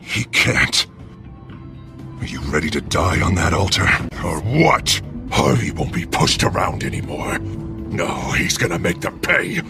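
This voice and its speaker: A man voicing a vengeful split-personality gangster villain, gravelly and threatening, swinging between growls and yells. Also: sits low, very animated, unhurried, heavily acted. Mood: furious, menacing. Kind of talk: villain character acting. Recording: background music.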